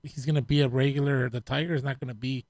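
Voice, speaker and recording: A man DJ hosting a live stream, telling stories in a relaxed, profane way. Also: medium pitch, quick pace, dry recording. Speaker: DJ host. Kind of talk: casual live-stream chat.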